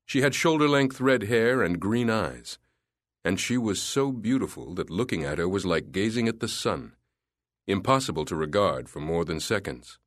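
A man narrating a literary story in a measured, descriptive way. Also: lowish voice, no room sound, clean studio sound. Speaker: audiobook narrator.

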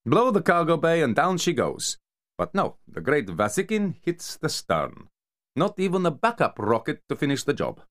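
A man voicing a sharp-tongued, sarcastic mafia enforcer who scolds his partner. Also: a little high, wildly swooping pitch, moderate pace, pitched above the performer's own voice. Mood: scornful.

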